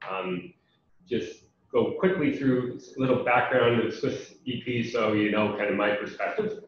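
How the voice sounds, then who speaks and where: American guy talking on a webinar, lots of ums, low voice, super echoey far-off muffled audio. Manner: halting, many fillers. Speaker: program representative. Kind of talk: webinar presentation. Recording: echoey, dull, distant online call audio.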